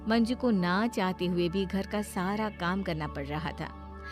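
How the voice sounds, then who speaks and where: A woman's voice: on the low side, a little slow, soft background music. A woman storyteller reading scripted narration for a cartoon bedtime story.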